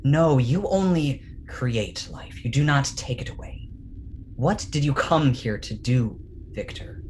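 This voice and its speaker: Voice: mid-range voice, lively intonation, a little mellow, slight background noise. An actor playing Frankenstein's creature, tormented and pleading with its maker in a dramatic staged reading. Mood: wounded, questioning. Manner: slow, deliberate, imploring.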